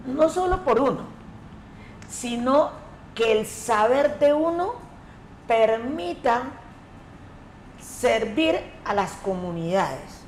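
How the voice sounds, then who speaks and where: A woman from the Colombian Pacific coast, an education coordinator answering interview questions in a measured, emphatic way. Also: a little high, animated, darkish tone, a little hiss or hum. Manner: measured, emphatic, repeats key words. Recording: echoey room, faint ambience.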